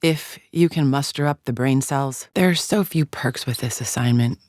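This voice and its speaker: A woman's voice: rumbling low, normal speed, darkish tone, no room sound, studio quality. A woman playing a haughty, contemptuous female alien agent in a video game, speaking formally with clipped, disdainful precision. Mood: cool, condescending. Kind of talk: scripted video game character lines.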